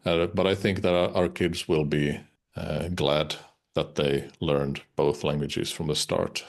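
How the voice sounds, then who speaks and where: Finnish-native guy, super low voice, lots of uhs, talks slow and careful in an interview. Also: little pitch movement. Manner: hesitant, many uhs and restarts. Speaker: interviewed musician. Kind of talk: casual interview talk.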